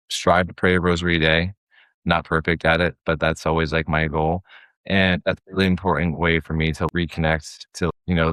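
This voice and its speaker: A man's voice: low-pitched, a bit bright, clear, resonant tone, no room sound. A man talking casually as an interview guest, earnest and rambling, with "like" sprinkled through. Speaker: podcast guest, creative producer.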